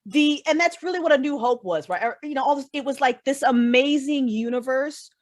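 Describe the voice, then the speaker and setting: A woman guest, a writer, talking casually on a podcast in an animated, opinionated, sweary way. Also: sits high, brisk. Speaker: guest, writer and creator of an audio drama.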